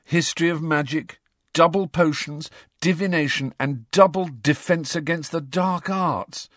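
A man's voice: mid-range voice, wildly swooping pitch, a little slow, a bit bright, no echo, clean studio sound, pitched above the performer's own voice, heavily acted. Man playing a grumpy, blunt teenage schoolboy. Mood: grumpy, groaning.

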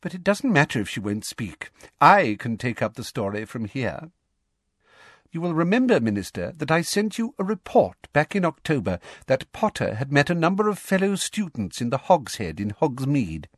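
A man voicing a smug female official in an audiobook, soft and condescending with a falsely kind manner. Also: medium pitch, sing-song, normal speed, no room sound, clean studio sound, voice pushed up. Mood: smug, reporting. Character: silky, smug, sickly-sweet middle-aged female official.

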